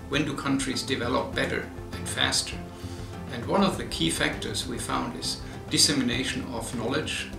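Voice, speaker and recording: A man's voice: medium pitch, gravelly. A man speaking as a development expert, giving an explanatory interview statement. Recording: echoey room, distant mic, music behind.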